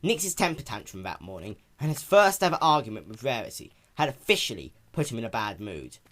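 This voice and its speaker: A man's voice: mid-range voice, very animated, fairly quick, fairly bright tone. A man narrating a piece of fan fiction as a dramatic reading.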